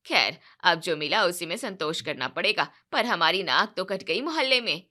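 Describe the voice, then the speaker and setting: Woman doing a taunting middle-aged mother-in-law, loud, scornful, sharp-edged voice, storybook. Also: lowish voice, animated, normal speed, voice pushed down.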